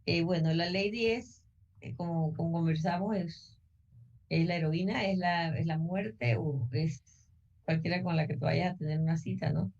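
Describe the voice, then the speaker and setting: A woman's voice: fairly low pitch, steady tone, a little mellow. Panamanian woman, distant and muffled. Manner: halting questions with restarts. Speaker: interviewer. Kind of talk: interview questions.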